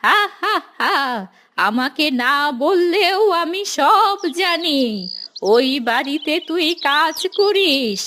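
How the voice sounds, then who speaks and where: A woman's voice: very high-pitched, lively intonation, slow, pushed and bright, heavily acted. A woman voicing a scheming, greedy old village witch in a cartoon, with a cackling, conspiratorial delivery. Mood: coaxing, sly. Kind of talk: cartoon voice acting.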